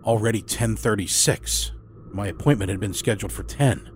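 A man's voice: fairly low pitch, moderate pace, a little hiss or hum. A man narrating a first-person horror story as a storyteller. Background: music score.

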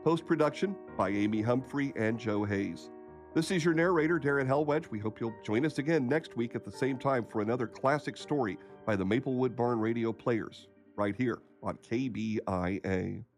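A man's voice: mid-range voice, moderate pace. An American man narrating a scripted radio drama outro, reading credits as the announcer. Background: music.

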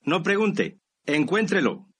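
An actor playing a curt, secretive boss who gives clipped, commanding orders in a radio drama. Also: male voice, on the high side, very animated, slow pace, noisy background. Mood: stern, secretive.

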